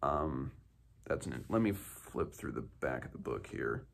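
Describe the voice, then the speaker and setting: A man in his twenties with a low, husky voice that often drops into fry. He muses his way through a casual book discussion, stopping and starting as he thinks aloud. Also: expressive. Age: 20s. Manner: musing, halting, thinking aloud. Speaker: book reviewer, host of a read-along. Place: small home room, indoors.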